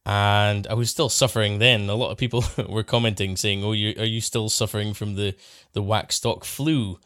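A man's voice: fairly low pitch, normal speed, no echo, studio quality. A man from England co-hosting a podcast, telling stories with wry humour and frequent laughs. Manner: animated storyteller, laughs often, wry. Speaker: co-host. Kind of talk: casual podcast chat.

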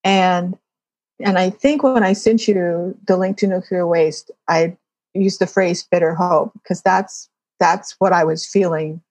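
Lowish, closely recorded woman writer in interview. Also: steady tone, measured pace, a little mellow, no room sound. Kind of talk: reflective interview conversation.

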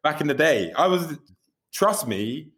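Guy talking about his video work on a podcast, chatty and animated, swears a bit, keeps saying you know what i mean. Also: a little high, a little slow. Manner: animated, candid, swears casually. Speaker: music video director, guest. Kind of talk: casual interview storytelling.

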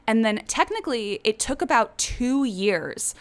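A woman's voice: on the high side, expressive, unhurried. A woman in her thirties from the Czech Republic, telling personal stories to viewers in a chatty, candid, rambling way. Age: 30s. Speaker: vlogger. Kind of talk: casual personal storytelling to viewers.

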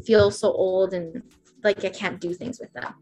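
A woman's voice: mid-range voice, steady tone, measured pace, mellow, poor audio. Chatty young woman, heavy with "like". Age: 20s. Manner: chatty, rambling, heavy use of "like". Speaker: podcast guest. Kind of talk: casual podcast chat.

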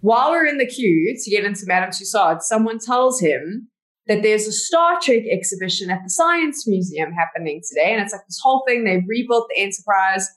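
A woman's voice: medium pitch, expressive, a little fast. A woman with a South African accent, a podcast guest telling stories in a chatty way with lots of fillers. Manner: chatty storyteller, many "like" fillers. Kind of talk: casual interview chat.

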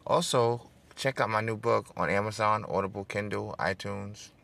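A middle-aged man with a smooth voice hosting a political podcast, talking straight to his listeners and building into an emphatic, preacher-like cadence. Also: on the low side, steady tone, moderate pace, a bit bright. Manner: addresses listeners directly, seeks call-and-response. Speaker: podcast host. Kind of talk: casual political podcast monologue.

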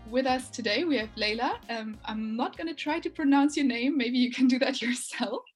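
A woman's voice: sits high, normal speed, soft background music. A woman co-hosting a podcast, delivering a scripted intro in a friendly, upbeat way. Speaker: podcast co-host.

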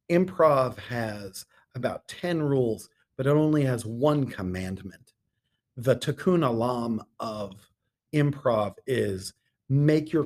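A middle-aged man talks as a guest in a conversational interview. His delivery is earnest and measured, with a medium pitch and deliberate pauses between phrases. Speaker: podcast guest, theatre artistic director.

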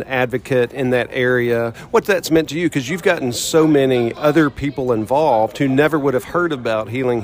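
A man hosting an interview podcast, asking friendly, conversational questions. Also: mid-range voice. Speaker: podcast host.

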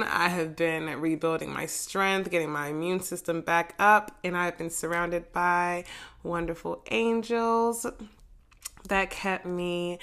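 A woman hosting a casual solo podcast from her living room, raspy and congested from a head cold, sassy and slangy with frequent laughs. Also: on the low side, bright, forceful tone. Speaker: podcast host.

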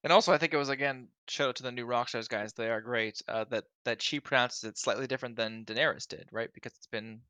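A man co-hosting a casual chat, excitable and acting out quotes with sudden loud bursts. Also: mid-range voice, fast, hardly any pauses, smooth, no room sound. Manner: excitable, acts out quotes with big swings in loudness. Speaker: podcast co-host.

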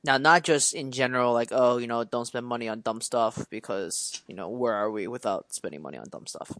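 A man in his twenties, co-hosting a podcast in casual chat, talking at length with restarts and quick laughs. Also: medium pitch, fairly bright tone. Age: 20s. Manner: chatty, rambling with restarts and laughs. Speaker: podcast co-host.

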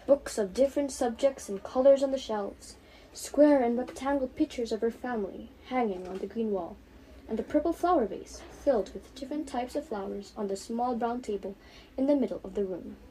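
Teenage female storybook narrator, high voice, read-aloud delivery, noisy recording. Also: fairly quick, mellow. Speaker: author reading her own story.

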